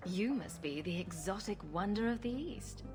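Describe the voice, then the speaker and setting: A woman's voice: medium pitch, expressive, moderate pace, lightly acted. An actress playing a sarcastic, commanding female rogue adventurer in a fantasy video game. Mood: wry, teasing. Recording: game audio with music behind.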